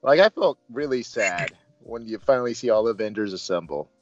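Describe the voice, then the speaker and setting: A man's voice: on the low side, lively intonation, brisk. A man casually talking through a film as a review host, conversational with playful asides. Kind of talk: casual film discussion, recapping scenes. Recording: roomy, dull sound with music.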